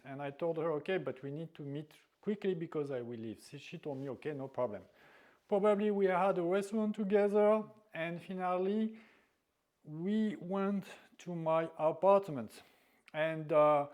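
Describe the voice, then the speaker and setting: Slow, halting man. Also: fairly high pitch, darkish tone. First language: French. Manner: halting, searching for words, many restarts. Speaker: dating advice vlogger. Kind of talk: storytelling monologue.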